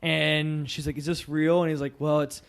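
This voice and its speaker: A man's voice: fairly high pitch, level tone, normal speed, creaky, clean tone. A man co-hosting a film podcast, casually recapping a movie in loose conversation.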